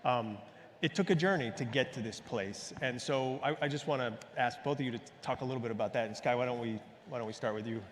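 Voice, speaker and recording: A man's voice: medium pitch, fast talker. Male panel moderator in a reverberant hall. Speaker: moderator, journalist. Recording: reverberant hall with background noise.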